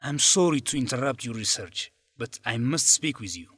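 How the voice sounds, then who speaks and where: Animated young student, pleading and defensive. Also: male voice, mid-range voice, moderate pace, no room sound. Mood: urgent, earnest. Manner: emotional, insistent. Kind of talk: educational radio drama acting.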